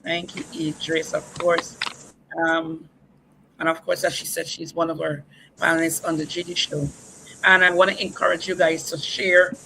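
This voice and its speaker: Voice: medium pitch, level tone, normal speed, creaky, noisy background. The host of a livestream talk show, upbeat, introducing guests and repeatedly asking viewers to share the stream.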